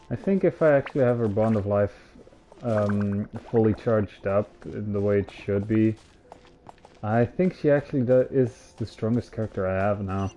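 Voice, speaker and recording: Low-voiced casual man streaming a game. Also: a little slow, pauses often, warm tone, dull audio. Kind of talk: casual live game commentary.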